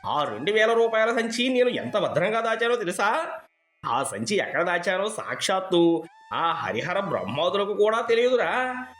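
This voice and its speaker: A man playing a greedy, boastful rich landowner father in a dramatised moral story, with an expressive, gloating delivery. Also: high voice, moderate pace, echoey room.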